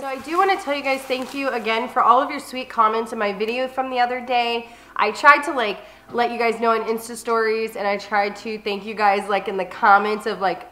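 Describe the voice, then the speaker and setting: A woman's voice: a little high, bright, forceful tone, echoey room. A woman in her thirties chatting casually to the camera from her living room couch, upbeat and full of "like" and "um". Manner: chatty, upbeat, many "like" and "um" fillers. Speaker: lifestyle vlogger. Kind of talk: casual haul chat to camera. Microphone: camera in front, medium framing.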